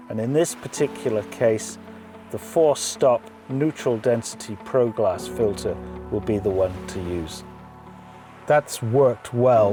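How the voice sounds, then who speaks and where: A man narrating an instructional film in the first person, explaining his choices in a measured way. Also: fairly low pitch. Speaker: photographer narrator. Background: music.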